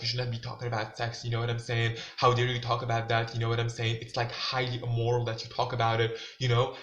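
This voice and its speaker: A man's voice: medium pitch, fairly bright tone, slight background noise. A young man from Tunisia, talking casually to camera in a loose, filler-heavy way. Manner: loose, filler-heavy talk, "like" and "you know what I'm saying" everywhere. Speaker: vlogger. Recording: roomy and dull, lo-fi.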